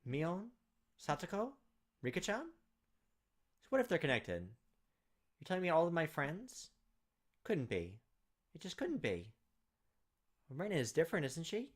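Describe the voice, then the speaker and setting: A man's voice: fairly high pitch, wildly swooping pitch, a little slow, pauses often, voice pushed up, full character voice. A man voicing a shaken young man in a visual novel who questions in disbelief and defends his friends emotionally. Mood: anxious denial.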